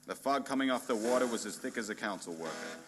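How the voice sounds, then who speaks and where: A man playing a hard-boiled, pompous and bumbling private detective in a noir radio play parody, narrating in a deadpan, melodramatic way. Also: mid-range voice, quick pace, slight background noise, close to an everyday voice. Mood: deadpan world-weary.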